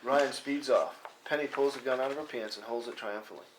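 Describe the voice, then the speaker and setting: A man's voice: medium pitch, fairly quick. Man reading stage directions, noisy recording. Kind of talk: scripted narration at a table read. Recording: noisy live room recording with clicks.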